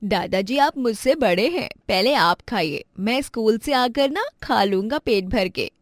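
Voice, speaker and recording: Woman as young schoolboy, earnest, polite, humble, raised pitch, dry storybook recording. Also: animated, measured pace, no echo. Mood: kind, respectful. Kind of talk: storybook character acting.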